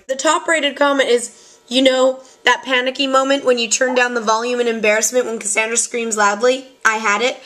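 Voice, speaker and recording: A young woman chatting casually and loudly in a vlog, excitable and joking. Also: fairly high pitch. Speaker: vlogger.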